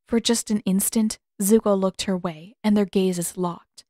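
A woman's voice: medium pitch, fairly even intonation, unhurried, mellow, no echo. A woman reading scripted fiction as an audiobook narrator, close to the microphone.

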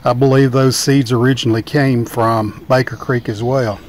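A man with a mid-pitched, everyday voice talks his viewers through his backyard plants in an unhurried, folksy way. He is outdoors on a deck, holding the camera himself, so the sound is that of a handheld camera microphone in open air. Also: steady tone. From Tennessee, United States. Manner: folksy, unhurried, explaining as he films. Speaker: gardening vlogger. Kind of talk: casual garden tour narration. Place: outdoors on a home deck and garden.

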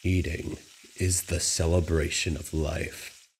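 Man as a big delusional bear, manga dub monologue, slow and deep, hushed, ominously tender. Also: level tone, a little hiss or hum, exaggerated voice. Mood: brooding, wistful. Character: large brooding delusional male grizzly bear student.

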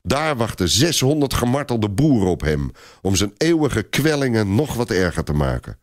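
A man's voice: on the low side, big pitch swings, moderate pace, dry recording, studio quality. A man voicing a grim, short-tempered medieval knight commander in an audio story, snapping and curt.

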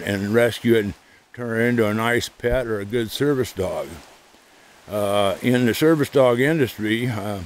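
Man, mid pitch, casual rambling outdoor vlog talk, handheld camera, faint background noise. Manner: talks to his dog between asides, chatty and meandering. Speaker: service dog trainer and vlogger. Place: outdoors by a creek.

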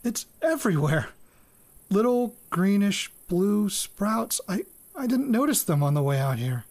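A man's voice: high voice, sing-song, a little slow, darkish tone, heavily acted. An actor playing a hesitant farm-raised man in an audio drama, murmuring and thinking aloud as if into a recorder. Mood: puzzled, awed.

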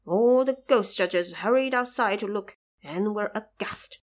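A woman's voice: medium pitch, measured pace. A woman narrating an audio drama in a scripted storytelling style.

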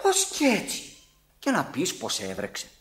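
An actor playing a put-upon, mocking manservant in a theatrical radio drama, sardonic and exclamatory. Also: male voice, fairly high pitch, huge pitch swings, fairly bright tone. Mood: muttering.

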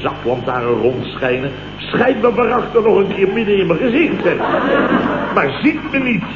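An actor playing a boastful, weary, blustering boss in an old radio comedy, rambling and stammering. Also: male voice, high voice, big pitch swings, moderate pace. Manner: rambling, exclamatory, full of stammers and interjections. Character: blustering, boastful, weary male boss and amateur night watchman. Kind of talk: 1970s Dutch radio comedy acting. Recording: echoey, noisy, muffled old radio broadcast.

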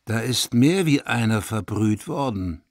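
A man's voice: on the low side, lively intonation, unhurried, no echo, studio quality. An actor playing a paranoid, vengeful madman released from an asylum, volatile between whispering and cursing in a radio play. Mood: agitated, haunted. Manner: volatile, swinging from breathy whispers to loud cursing.

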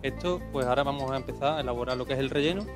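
A fast-talking man, a chef who explains each step plainly into the reporter's mic. Clear music plays behind him. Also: mid-range voice. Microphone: reporter's handheld mic.

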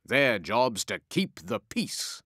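A man's voice: medium pitch, very animated, slow pace, creaky, sharp tone, breathy edge, no echo, studio quality. A man narrating a rhyming story in a dramatic, scripted storyteller's delivery.

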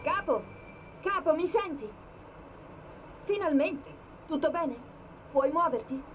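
A woman voicing a calm, precise military AI companion with dry wit in a video game. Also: high voice, slow, noisy background. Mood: worried, alert. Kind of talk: video game voice acting, tactical briefing.

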